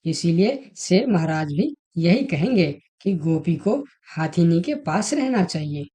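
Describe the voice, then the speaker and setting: A persuasive female animal from a cartoon fable acted by a woman. Her voice is slightly low and mellow. She takes her words very slowly. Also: animated. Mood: scheming. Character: female talking animals, fox and elephant, in a fable. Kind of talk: Hindi animated moral story dialogue.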